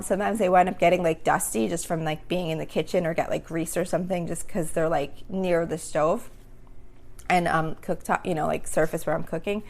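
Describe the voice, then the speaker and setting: A woman's voice: fairly low pitch, gravelly. A woman hosting a casual solo podcast, rambling with lots of "um" and "like", her voice a little worn as she starts to lose it. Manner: rambling, many fillers like "um" and "like". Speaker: podcast host.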